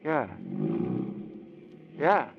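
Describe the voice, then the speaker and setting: An actor playing a polite, easily puzzled banker in an old radio drama. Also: male voice, a little high, expressive, drawn-out words, noisy background. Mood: genial, puzzled. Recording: muffled vintage radio recording.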